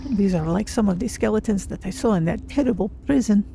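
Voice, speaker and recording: Woman voicing a creaky-voiced, cautious cat-folk adventurer. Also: mid-range voice, warm tone, close to an everyday voice. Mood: wary curiosity. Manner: deliberate, formal phrasing without contractions. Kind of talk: in-character game playthrough narration. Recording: clear background noise under voice.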